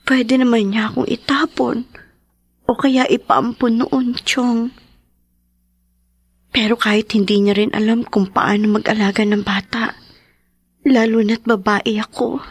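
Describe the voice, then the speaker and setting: A woman's voice: fairly high pitch, little pitch movement, breathy edge, no room sound, studio quality. A woman playing an earnest, emotional adult daughter, devoted and wounded, in a Filipino radio drama. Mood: tender, reminiscing. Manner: slow, emotional, earnest delivery. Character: devoted, wounded adult daughter caring for her sick father.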